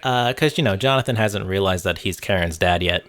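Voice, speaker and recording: A man's voice: low-pitched, a little fast, a bit bright. A man narrating a casual video game essay with wry, sarcastic commentary and casual swearing. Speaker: host of a video game review channel.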